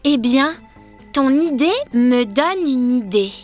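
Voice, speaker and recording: A woman voicing a gentle, gracious girl from an underwater city in a children's cartoon, speaking earnestly. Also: high-pitched, lively intonation, slow, faint music behind. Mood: pleased.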